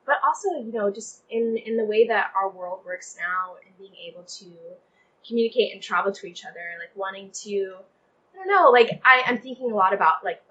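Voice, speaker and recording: A woman's voice: medium pitch, bright, forceful tone, muffled recording, slight background noise. A young woman chatting casually as an interview guest, speaking in an effusive, emphatic way peppered with "like". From Texas, United States. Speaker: playwright guest.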